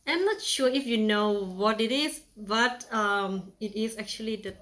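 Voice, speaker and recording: A woman's voice: medium pitch, unhurried, a bit bright. A young woman from Thailand with a Thai accent, chatting playfully through a casual how-to demonstration with many fillers. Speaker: vlogger.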